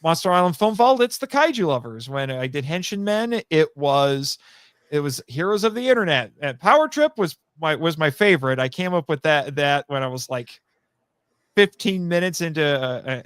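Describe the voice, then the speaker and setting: A man's voice: a little high, a bit bright, dry recording, clean studio sound. A man speaking as a podcast guest in a casual, rambling, jokey way, plugging his own projects with some self-deprecation. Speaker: podcast guest, writer and audio drama voice actor.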